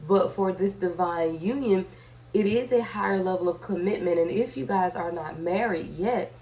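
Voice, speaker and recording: A woman's voice: on the low side, moderate pace, dark tone. A woman hosting a conversational tarot reading, her voice slightly scratchy from a cold, addressing viewers directly and checking in with short tag questions. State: sick with a cold. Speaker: tarot reader, channel host.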